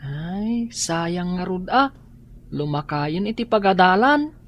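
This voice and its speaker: A woman's voice: fairly low pitch, animated, slow pace, a little hiss or hum. A woman playing a polite, understanding young schoolboy in an Ilocano family drama. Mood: friendly.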